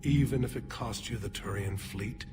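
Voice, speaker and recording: An actor playing a weary, guarded alien leader and former general in a video game, measured and grave, over music. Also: male voice, on the low side, lightly acted. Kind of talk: video game cutscene acting.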